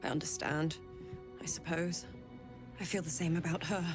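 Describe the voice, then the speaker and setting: A woman's voice: sits low, fairly even intonation, moderate pace, over music, lightly acted. A woman playing a caring, worried partner of a warrior in a fantasy video game, speaking earnestly and plainly.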